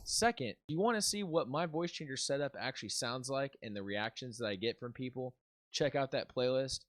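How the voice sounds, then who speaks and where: A man's voice: medium pitch, brisk, no room sound, clean studio sound. A man giving an instructional tech tutorial, explaining things step by step. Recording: music behind the voice. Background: background music.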